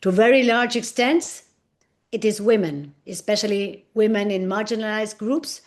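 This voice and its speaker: A woman from Argentina, a native Spanish speaker, presenting in English as an NGO director on a panel over a video call. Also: mid-range voice. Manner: measured, list-like delivery.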